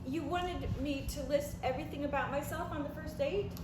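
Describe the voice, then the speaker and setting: A woman's voice: high-pitched, fairly quick, close to an everyday voice. A woman acting an exasperated, teasing, slightly zoned-out girlfriend in a comedy play table read. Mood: irritated. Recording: echoey room, clear background noise.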